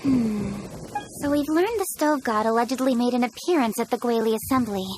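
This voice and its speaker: A woman's voice: a little high, moderate pace, darkish tone, a little hiss or hum, natural voice. A woman voicing a poised, businesslike young noblewoman official in a video game, formal and articulate. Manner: formal, articulate, matter-of-fact.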